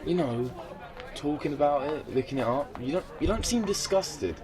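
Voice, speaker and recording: Embarrassed young man, played by a male actor, hesitant, animated intonation, radio play, busy outdoor background. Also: on the high side, normal speed, a crowd in the background, lightly acted. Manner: hesitant, awkward. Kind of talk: naturalistic radio play dialogue.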